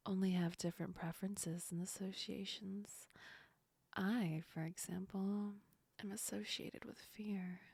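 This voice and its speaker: A woman playing a teasing yet comforting female sleep demon in a whispered ASMR roleplay, breathy and soft, speaking close to the ear. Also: fairly low pitch, no room sound. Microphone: very close ASMR microphone.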